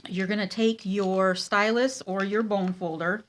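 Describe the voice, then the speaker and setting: A woman with a cold and a nasal, congested voice, teaching a casual card-making tutorial at her craft table, with many ums and pauses. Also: medium pitch, fairly even intonation.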